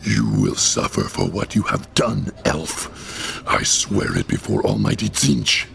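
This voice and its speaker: An actor playing a vengeful male demon prince in a video game, with a gravelly voice and a threatening, vow-making delivery. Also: very animated, takes it slowly, a bit bright, a little hiss or hum, exaggerated voice. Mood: menacing. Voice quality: gravelly, deep. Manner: threatening, vowing revenge.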